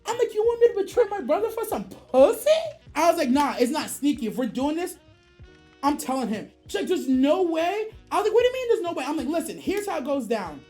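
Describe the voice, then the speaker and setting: A woman's voice: high voice, big pitch swings, brisk, a little mellow, faint music behind. A woman streamer telling a casual story in profane slang, acting out both sides of the dialogue.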